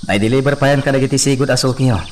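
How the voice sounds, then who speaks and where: A man's voice: medium pitch, steady tone, racing, natural voice. A man playing a loyal, deferential hacienda foreman with a Filipino accent in a radio drama. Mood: respectful. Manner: respectful, deferential. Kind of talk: Ilocano radio drama acting. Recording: distant mic, faint insect noise.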